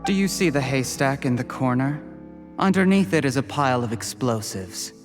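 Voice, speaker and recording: An actor playing a cold, calculating official turned poisoner in a video game, gloating in measured, mockingly polite monologue. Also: male voice, medium pitch, animated, soft background music, close to an everyday voice. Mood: cold, defiant. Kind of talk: scripted video game voice acting.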